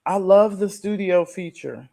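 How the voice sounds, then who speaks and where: A man's voice: high voice, measured pace, gravelly, warm tone. A man talking casually as a podcast guest, explaining things in a conversational way. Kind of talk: casual conversation about online platforms.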